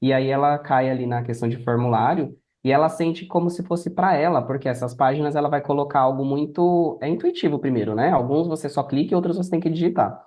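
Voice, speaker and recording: A man's voice: fairly high pitch, fast, few pauses, a little mellow. A man with a Brazilian accent explaining things casually as a podcast guest on a remote call. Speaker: podcast guest, affiliate marketer.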